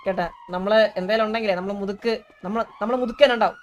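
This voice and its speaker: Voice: mid-range voice, steady tone, racing, muffled recording. A gamer improvising in-game roleplay banter, insistent and often repeating phrases. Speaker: gamer playing a gang roleplay character. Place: online game voice chat. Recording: noisy game audio with sirens.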